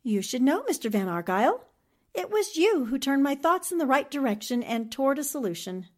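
An actress playing a shrewd, confident newspaperwoman sleuth in a 1940s-style radio mystery, deliberate and probing. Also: sits high, sing-song. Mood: accusing.

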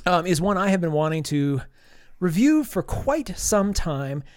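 Stuffy male podcast co-host, higher voice, dry close mic, rambling and eager. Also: very animated, a little slow, no room sound. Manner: rambling, enthusiastic, many restarts. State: stuffy nose from allergies. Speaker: podcast co-host reviewing comics. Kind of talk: casual podcast chat.

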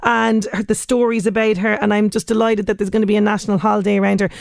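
An Irish woman hosts a radio interview from the studio, warm in her encouragement and eager to move the talk along. Her voice sits in a middle range. The sound is close and dry. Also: medium pitch, flat delivery, quick pace, creaky, dry recording. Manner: enthusiastic and encouraging. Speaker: radio host.

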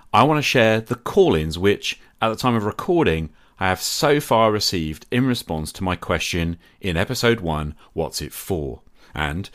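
Low-voiced man, animated podcast host. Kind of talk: scripted podcast monologue.

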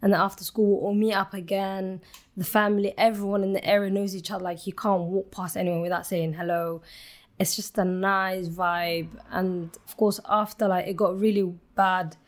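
A young woman from Somalia, a football referee and coach, chatting eagerly in a podcast interview with lots of "like"s and quick restarts. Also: mid-range voice, little pitch movement. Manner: eager, chatty, rambling with many "like"s. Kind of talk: casual interview chat.

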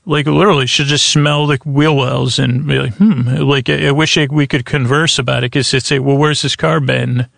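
A man hosting a bedtime podcast in a rambling monologue, stammering, interrupting himself and drifting off on tangents, with a gravelly voice. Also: medium pitch, fairly even intonation, moderate pace, hardly any pauses, a bit bright, no echo, studio quality. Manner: meandering, stammering, self-interrupting, trailing off into tangents. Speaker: podcast host.

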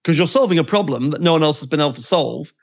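Male podcast host, a bit higher than most men, measured and thinking aloud. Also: lively intonation, vocal fry. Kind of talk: conversational interview.

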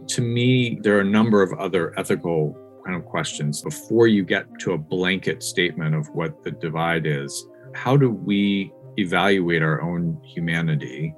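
A man with a low-pitched voice talks through ideas in an interview. He sounds like a researcher thinking aloud, reflective and often framing his points as rhetorical questions. Soft music is present behind him. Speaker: interviewed researcher on ethics of technology. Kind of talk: thoughtful interview discussion.

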